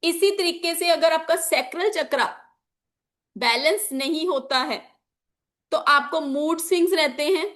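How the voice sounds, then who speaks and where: High-pitched woman teaching, sore throat. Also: unhurried, fairly bright tone. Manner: explanatory, repeats key phrases, mixes in English terms. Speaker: teacher of an online class on chakras and magical oils. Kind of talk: informal spoken lesson with examples.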